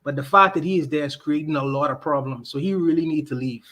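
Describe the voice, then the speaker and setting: A man's voice: fairly high pitch, fast, bright, forceful tone. A man co-hosting a livestream podcast, giving his opinion emphatically and repeating his points with frequent restarts.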